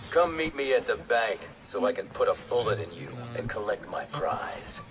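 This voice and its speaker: An actor playing a cocky, coldly taunting assassin in a video game, speaking with mocking menace over a radio. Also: male voice, lowish voice, sing-song, moderate pace, a bit bright. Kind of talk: video game villain acting. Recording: distant, noisy radio transmission.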